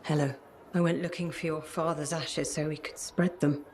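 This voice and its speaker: A woman's voice: sits low, flat delivery, moderate pace, darkish tone, slight background noise, lightly acted. An actress playing a confused, grieving middle-aged mother in a video game, wistful and hesitant. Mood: troubled. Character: fragile, confused, grieving older widowed mother. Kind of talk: video game dramatic acting.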